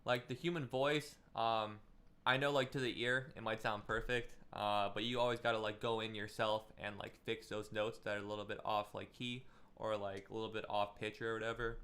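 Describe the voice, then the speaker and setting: Man, music production instructor, bright tense tone, casual livestream feedback, filler-heavy chatty delivery, encouraging. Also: fairly low pitch, little pitch movement, normal speed. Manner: loose and chatty, full of "like" and "um" fillers; encouraging, gives friendly advice.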